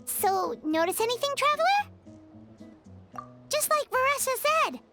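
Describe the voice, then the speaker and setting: A little fairy companion from a video game, with an extremely high, squeaky voice. The delivery is childlike and excitable, swinging widely in pitch over prominent music. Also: female voice, a little slow, heavily acted. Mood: chipper, encouraging. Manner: refers to self in third person, exclamatory. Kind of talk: video game character acting.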